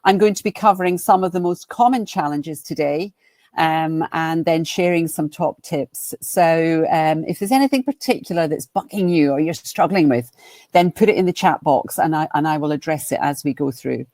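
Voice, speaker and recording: A woman's voice: low-pitched, moderate pace, a little mellow, no echo. A woman from Scotland with a Glasgow accent, presenting a webinar as a business coach in a friendly, chatty way with frequent ums and restarts. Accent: Glaswegian Scottish. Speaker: guest speaker and business coach.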